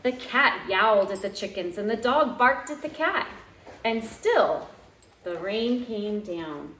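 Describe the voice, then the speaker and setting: A woman reading a children's picture book aloud at home in an expressive, dramatic way, drawing out key phrases. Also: mid-range voice, slow pace, a little hiss or hum. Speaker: teacher reading aloud to young pupils. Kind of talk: animated children's storybook read-aloud. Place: living room at home. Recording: roomy, echoey home recording.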